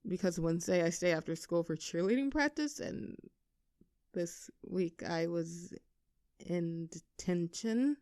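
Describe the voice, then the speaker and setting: A woman playing a popular high school cheerleader bully with a hidden soft side in an audio roleplay, teasing and sarcastic. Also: animated, measured pace, no echo, studio quality. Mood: sarcastic, wary. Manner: teasing, sarcastic, with flustered stammers when cornered. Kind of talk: audio roleplay acting, one side of a dialogue.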